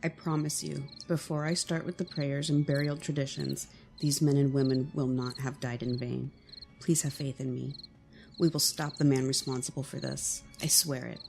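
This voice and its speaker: A man's voice: medium pitch, flat delivery, moderate pace, gravelly, natural voice. Earnest young lord, voiced by a man. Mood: solemn. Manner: earnest, direct. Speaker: lead character of an audio drama. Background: music score.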